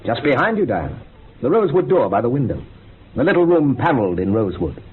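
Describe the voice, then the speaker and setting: An actor playing a cryptic, pedantic occult experimenter in a radio drama, explaining things in a declamatory way. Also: male voice, medium pitch, big pitch swings, fast talker, close to an everyday voice. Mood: ominous, knowing. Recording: noisy, phone-like old radio recording.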